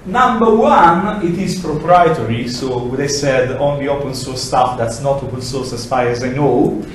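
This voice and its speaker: A middle-aged man with an Italian accent giving a lively technical presentation in a lecture room, acting out examples as he goes. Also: medium pitch, unhurried, a little hiss or hum. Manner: animated, gesturing, acts out examples in quoted voices. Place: large lecture room, indoors. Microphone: far camera, no mic. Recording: echoey room from far off.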